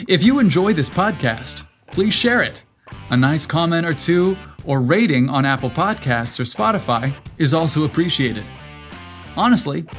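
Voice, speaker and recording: A man's voice: a little high, a bit bright. A man narrating a scripted story as the host of a podcast.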